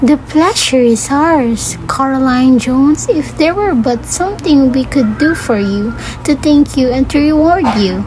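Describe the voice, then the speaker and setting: A high-voiced ghost child acted by a woman, solemn and courteous in a puppet show. The phrasing is formal and old-fashioned, with noise behind it. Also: lively intonation, unhurried, hardly any pauses. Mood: courteous, grateful.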